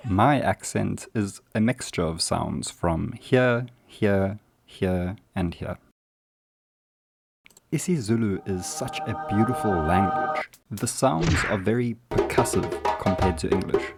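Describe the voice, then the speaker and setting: Male, South African accent deep, unhurried comic narrator, deadpan, music bed behind. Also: creaky. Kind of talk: comedic scripted narration.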